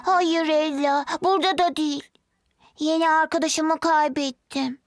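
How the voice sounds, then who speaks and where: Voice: high-pitched, steady tone, unhurried. An actor voicing an earnest little boy in a dubbed children's cartoon, with a simple childlike delivery. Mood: downcast. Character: earnest little boy, easily disheartened, loves animals. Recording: music behind the voice. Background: music.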